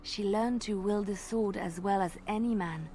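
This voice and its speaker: A woman voicing a graceful female bard in a video game, telling tales in a measured, lilting way. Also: medium pitch, dark tone, slight background noise, natural voice. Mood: storytelling. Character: graceful female bard, gentle devout storyteller. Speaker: game character. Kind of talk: voiced game dialogue.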